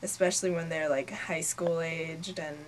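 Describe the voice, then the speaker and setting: A woman's voice: low voice, steady tone, moderate pace, a little hiss or hum. A nineteen-year-old woman from Norway, a native Norwegian speaker, answering interview questions in a casual way with lots of "like". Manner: casual, frequent "like" as filler.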